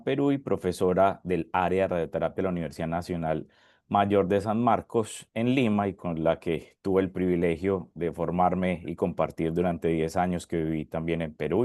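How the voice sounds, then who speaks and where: A man's voice: deep voice, no room sound. A man hosting an online session, formally reading out the speakers' introductions, with occasional slips. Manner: reads guest bios at a steady formal pace, with small slips. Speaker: session host and moderator.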